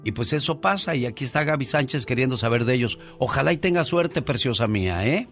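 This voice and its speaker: A male radio host talks at an ordinary mid pitch into a studio mic, chatty and affectionate with his callers. Faint music plays softly underneath. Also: expressive, fast talker. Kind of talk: live call-in radio talk. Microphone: studio microphone.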